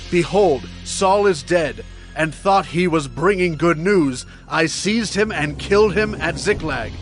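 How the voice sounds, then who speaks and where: A man's voice: on the high side, lightly acted. An actor playing a grieving, righteous warrior king in dramatized scripture, declaiming with solemn emphasis. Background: music.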